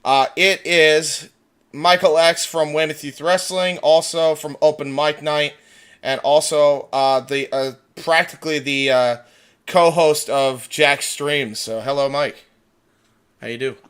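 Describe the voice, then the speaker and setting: A man hosting a casual livestream chat, talking in an excitable way and swearing freely. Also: on the high side, measured pace, smooth. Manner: profane, excitable. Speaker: livestream host.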